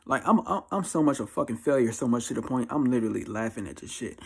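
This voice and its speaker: A man's voice: mid-range voice, animated, fast, creaky, breathy edge. A man in his mid twenties with an American accent, giving a casual, slangy monologue in a blunt, emphatic way, often repeating phrases. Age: twenty-four. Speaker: vlogger giving life advice. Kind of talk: casual confessional monologue to camera.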